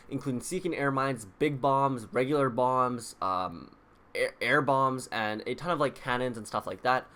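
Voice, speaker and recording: Bright-voiced man doing casual video commentary. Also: medium pitch. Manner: chatty, explanatory, addresses viewers directly. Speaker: video host.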